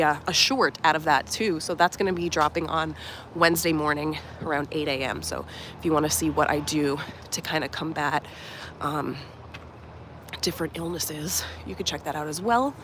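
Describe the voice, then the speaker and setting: A woman's voice: deep voice, moderate pace, background noise. A young woman life coach talking casually and earnestly on a live stream while walking outdoors, slightly nasal from a cold and full of fillers. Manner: warm, earnest, reflective, many fillers like "um" and "like". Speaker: life coach and channel host. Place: outdoors at a resort at sunrise.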